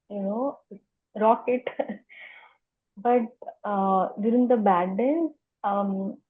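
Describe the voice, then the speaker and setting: Slow, stammering woman on a tinny call. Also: mid-range voice. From India. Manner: stammers, with repeated words and sounds. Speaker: interview guest. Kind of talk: conversational interview.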